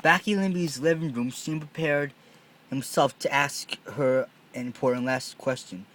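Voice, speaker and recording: A man's voice: mid-range voice, normal speed, fairly bright tone, slight background noise. A man narrating a storybook read-along, often stumbling and repeating phrases as he reads.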